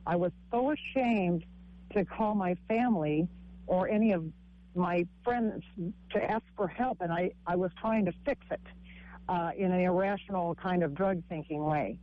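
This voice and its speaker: A woman with a husky voice, a comic and author telling her story haltingly in a radio interview, with frequent restarts. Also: dry recording, phone-quality sound. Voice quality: husky, low-sounding voice. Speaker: guest author and stand-up comic.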